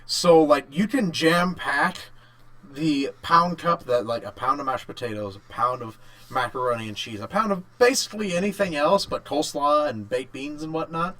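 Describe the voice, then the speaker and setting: A man co-hosting a casual podcast chat, thinking aloud in short, halting bursts. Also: fairly high pitch, expressive, sharp tone. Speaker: podcast co-host. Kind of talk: casual chat about games and work.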